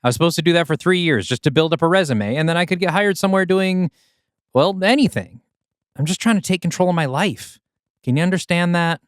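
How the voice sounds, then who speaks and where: A man's voice: on the high side, expressive, brisk, smooth, no room sound, studio quality, pitched above the performer's own voice, natural voice. A man voicing a nervous, wisecracking young male soldier who rambles and pleads. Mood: pleading.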